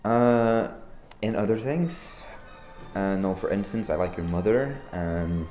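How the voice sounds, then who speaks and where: A teenage boy from Norway hosting a joking school podcast, talking in deadpan, slangy banter. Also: lowish voice, unhurried, roomy sound, faint music behind. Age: teens. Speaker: podcast host. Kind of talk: joking student podcast interview.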